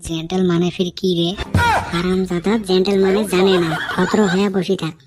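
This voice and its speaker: A man's voice: high voice, little pitch movement, fairly quick, over loud music, natural voice. A man voicing a foolish, cheeky young village bachelor in cartoon comedy, bickering colloquially in a Rangpuri Bengali accent. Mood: nervous bickering. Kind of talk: broad cartoon comedy acting. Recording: noisy amateur cartoon voice track.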